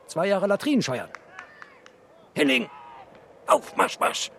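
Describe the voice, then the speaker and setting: An actor playing a bookish, sardonic refugee who narrates in a literary, poetic and opinionated way, as in a radio drama. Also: male voice, sits high, sing-song, slow pace, gravelly, fairly bright tone, slight background noise, exaggerated voice. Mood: indignant, barking. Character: bookish, sardonic male first-person narrator, a postwar refugee.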